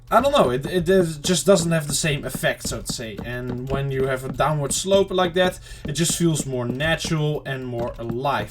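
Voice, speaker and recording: A man giving casual, rambling let's-play commentary to viewers, his throat slightly off and clearing it now and then. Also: mid-range voice, noisy background. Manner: rambling, chatty self-talk to viewers. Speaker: gaming channel host.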